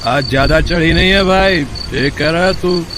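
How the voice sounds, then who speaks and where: A man's voice: on the high side, moderate pace, noisy background, lightly acted. An actor playing a menacing, leering drunken street thug in an audio story, slurring his words. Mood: drunken scheming. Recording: loud music bed over the voice.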